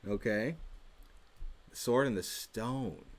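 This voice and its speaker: A man's voice: lowish voice, extremely slow, a loud crowd. Laid-back young man hosting a quiz. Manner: laid-back, teasing, slangy. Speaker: co-host of a church youth show. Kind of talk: casual chat, quiz hosting.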